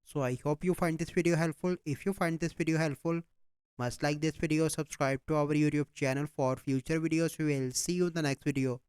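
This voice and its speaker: A man hosting a step-by-step software tutorial, speaking in a plain, instructional manner with repetitive phrasing. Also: a little high, fairly even intonation, fairly quick, clean tone, no room sound. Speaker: tutorial host.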